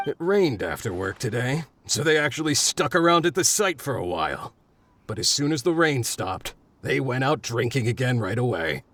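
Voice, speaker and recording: A man's voice: mid-range voice, very animated, normal speed, a bit bright. A man playing a good-natured, chatty worker in a video game, plain-spoken and folksy. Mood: easygoing. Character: good-natured, easygoing male mine worker.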